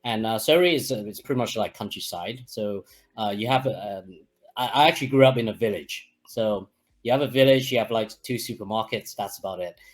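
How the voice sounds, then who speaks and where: A man from Hong Kong who grew up in the UK, a guest telling his story in a relaxed, rambling way. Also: on the low side, fairly quick. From Hong Kong, raised in the UK. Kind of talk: casual interview chat.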